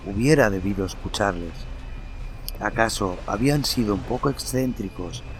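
A man narrating a horror story in a measured, dramatic way, with lingering pauses. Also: fairly low pitch, animated. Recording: narration over background music.

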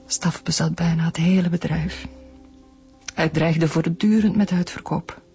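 A woman's voice: deep voice, steady tone, unhurried, mellow, faint music behind. An actress playing an embittered, grieving middle-aged mother and long-abused wife in a radio drama. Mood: bitter, wounded.

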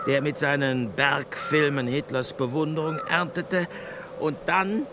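An actor playing a pompous, judgmental Nazi propaganda minister in a radio drama, speaking in a declamatory way. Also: male voice, a little high, noisy background, close to an everyday voice.

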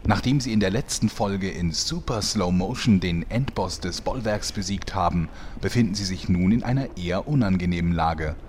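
A man's voice: deep voice, normal speed, few pauses, a little mellow. A man giving scripted, announcer-like narration for a comedy audio play. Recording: light background noise.

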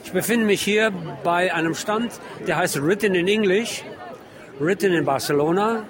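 A man's voice: high-pitched, normal speed. A man working as a radio reporter, giving an unscripted spoken field report as he describes what he sees and reads out titles. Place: outdoors on a busy city street among book stalls. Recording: busy outdoor street crowd noise. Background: street crowd.

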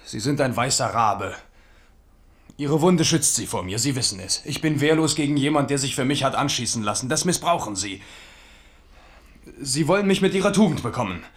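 A man's voice: mid-range voice, lively intonation, moderate pace, lightly acted. An actor playing an impetuous, mocking young king in a German radio drama, with a scornful, commanding manner. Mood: reproachful.

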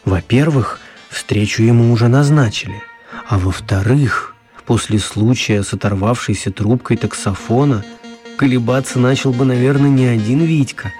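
An actor playing a storyteller, narrating a children's radio tale with measured dramatic pauses. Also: male voice, mid-range voice, a little mellow, natural voice. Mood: dramatic narration. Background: music.

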